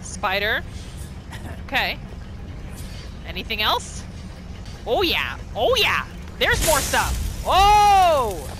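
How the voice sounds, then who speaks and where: A woman narrates her game as she plays, in a fairly high and animated voice. She thinks aloud in unhurried bursts and exclaims often, with music clearly behind her. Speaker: gamer narrating a roleplay playthrough. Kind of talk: reactive gameplay commentary.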